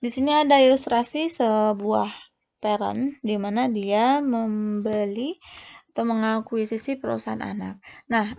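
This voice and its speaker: A woman giving an explanatory lecture as a tutor, speaking haltingly and often correcting herself. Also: on the high side, gravelly, a little mellow. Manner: halting, with repeated self-corrections. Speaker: accounting tutor.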